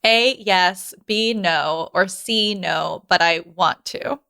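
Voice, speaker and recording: A woman's voice: fairly low pitch, slow pace, gravelly, bright, forceful tone, clean tone. A woman co-hosting a casual podcast in an enthusiastic, informal, joking way. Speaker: podcast co-host.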